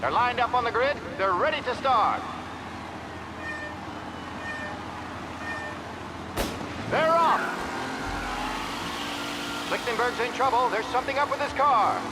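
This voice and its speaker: An actor voicing an excited male race announcer commentating in a 1930s game scene. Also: extremely high pitch, a little slow, long pauses. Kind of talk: period sports commentary. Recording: very noisy outdoor racetrack, loud engines.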